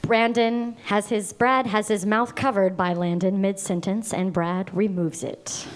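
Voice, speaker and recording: Slow, measured woman reading stage directions. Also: medium pitch, steady tone. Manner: measured, deliberate reading of scene descriptions. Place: live venue stage.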